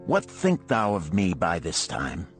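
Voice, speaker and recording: A man's voice: deep voice, huge pitch swings, unhurried, faint music behind, exaggerated voice. A man voicing an earnest, proud enslaved man in a storybook parable, speaking formally and eagerly. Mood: troubled.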